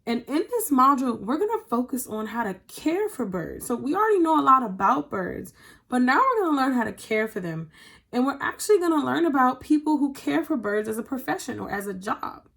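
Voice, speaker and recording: A woman's voice: sits high, sing-song, brisk, vocal fry, fairly bright tone, breathy edge. A woman teacher speaking expressively to young pupils, as in a read-aloud of a children's storybook. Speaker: teacher reading a picture book aloud to children.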